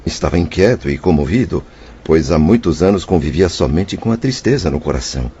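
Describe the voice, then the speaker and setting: An anguished, low-voiced repentant spirit. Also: male voice, expressive, a little fast, warm tone, a little hiss or hum. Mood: moved, hopeful. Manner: plaintive, emotional, formal wording. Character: anguished, repentant male spirit recalling his suffering. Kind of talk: radio drama acting. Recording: muffled lo-fi audio with music. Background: background music.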